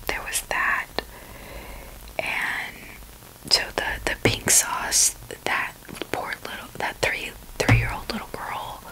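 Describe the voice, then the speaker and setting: A young woman speaks in a crisp, bright whisper at an unhurried pace. She rambles casually in an ASMR style. Her phrases are hesitant and often trail off before they finish. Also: poor audio. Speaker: ASMR video creator.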